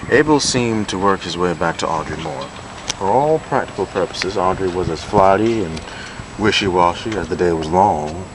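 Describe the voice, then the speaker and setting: A man with a very deep voice reads a piece of literary fiction aloud as a storyteller. The recording is amateur, with clear background noise running under his voice. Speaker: reader of a novella. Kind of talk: literary prose reading, storytelling. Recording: noisy amateur recording with steady background hum.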